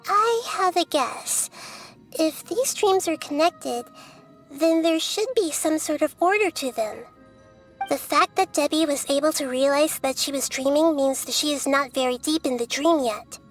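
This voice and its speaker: A woman voicing a small, wise, gentle girl deity in a video game, explaining calmly and patiently. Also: high-pitched, measured pace, faint music behind. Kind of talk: English video game voice acting.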